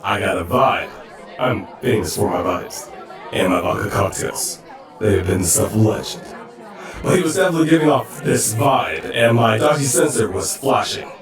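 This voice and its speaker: A man's voice: lowish voice, lively intonation, moderate pace, music in the background, crowd noise. A man playing an easygoing cyborg soldier in an audio drama, boastful, earnest and prone to rambling. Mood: earnest, defensive. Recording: very echoey, distant-sounding room.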